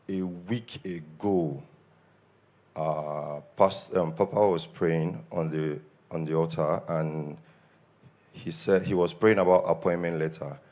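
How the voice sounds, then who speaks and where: Deep-voiced Nigerian man giving testimony. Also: a little mellow. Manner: earnest, grows more emphatic. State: voice slightly worn from shouting. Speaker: testimony giver at a church service. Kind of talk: spoken testimony.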